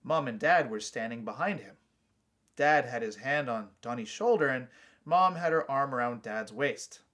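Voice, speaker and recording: A man's voice: mid-range voice, animated, moderate pace, fairly bright tone. A man reading his own short story aloud as a scripted first-person narration.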